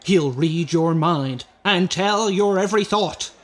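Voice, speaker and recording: An actor playing a showy nightclub master of ceremonies, proclaiming theatrically in old-time radio style. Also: male voice, high-pitched, slow pace.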